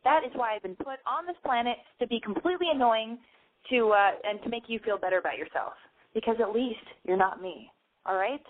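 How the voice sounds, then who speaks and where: A woman with a lisp hosting a casual call-in show, rambling, excitable and self-deprecating. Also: high voice. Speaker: radio show host. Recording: tinny phone-like sound.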